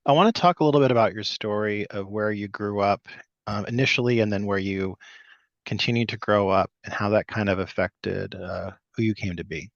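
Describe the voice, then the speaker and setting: Podcast host guy, lowish voice, reads his intro pretty measured, dry sound. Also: gravelly, no echo, lo-fi sound.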